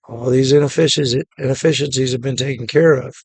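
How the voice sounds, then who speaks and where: A man with a hoarse, gravelly voice from a cough, recapping a day trade in a relaxed, matter-of-fact way and repeating his points. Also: mid-range voice, fast, no echo. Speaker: day trading channel host. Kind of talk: casual screen-share trade recap.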